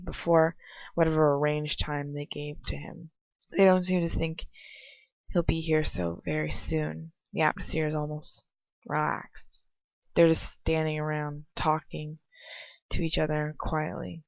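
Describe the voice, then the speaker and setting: Low-voiced woman reading fiction, creaky, lo-fi. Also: no echo. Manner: halting, stumbles and rereads phrases. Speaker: amateur audiobook reader. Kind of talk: fan fiction narration, read aloud in first person. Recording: dull lo-fi home recording.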